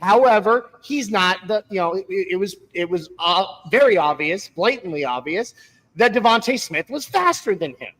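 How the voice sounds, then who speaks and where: High-voiced emphatic male sports talker. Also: lively intonation, moderate pace, a bit bright, poor audio. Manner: emphatic, repeats words for stress. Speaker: sports show co-host. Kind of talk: casual sports talk.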